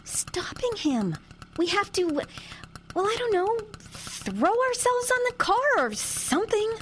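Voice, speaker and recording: Give me a woman as a flustered, high-voiced daughter. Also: very animated, a little slow, slight background noise, heavily acted. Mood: worried, flustered. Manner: flustered, hesitant, incredulous. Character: exasperated, earnest grown daughter turned reluctant amateur sleuth. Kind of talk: comedic audio drama acting.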